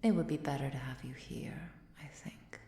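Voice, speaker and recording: Woman playing ancient vampire countess, ASMR roleplay, soft whispery delivery, menacing elegance, dark mellow tone, very dry close mic. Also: extremely low pitch, roomy sound. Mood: grave, thoughtful. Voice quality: soft, breathy, often dropping to a whisper. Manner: measured, formal, archaic diction.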